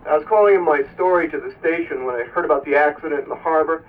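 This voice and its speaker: An actor playing an earnest, dramatic radio field reporter phoning in a live report in a radio play. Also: male voice, a little high, fast talker, natural voice. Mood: eager, reporting. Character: earnest male public radio field reporter, easily alarmed. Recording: dull distant phone call-in.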